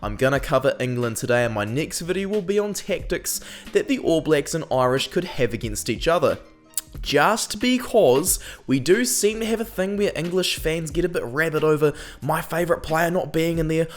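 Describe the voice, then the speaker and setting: A man's voice: a little high, expressive, moderate pace, faint music behind. A young man with a New Zealand accent, hosting a rugby analysis channel in a chatty, opinionated way, peppered with "um", while still getting over a cold. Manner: chatty, frequent "um" fillers, opinionated asides. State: recovering from a cold. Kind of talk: casual scripted commentary.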